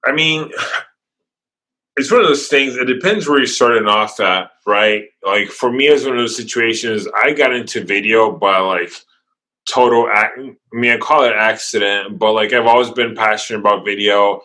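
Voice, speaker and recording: Guy w/ english as second language, bright punchy voice, energetic, keeps restarting sentences. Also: on the low side, rough recording. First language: not English. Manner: energetic, talks in quick runs with restarts. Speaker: guest videographer. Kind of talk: casual interview chat.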